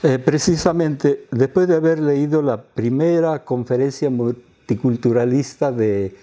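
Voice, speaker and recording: An Argentine man in his eighties who grew up speaking Quechua tells of his own life as a guest in an interview. His voice is soft-edged and mellow, at a middling pitch, with the phrasing of a former announcer. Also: measured pace, highs cut off. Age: 80s. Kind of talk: autobiographical storytelling in an interview.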